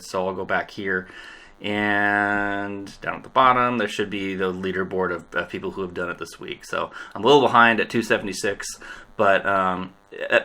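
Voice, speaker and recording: A middle-aged man explaining an online course website step by step, in a casual, relaxed way with lots of ums. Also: fairly low pitch. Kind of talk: screen-recorded software walkthrough.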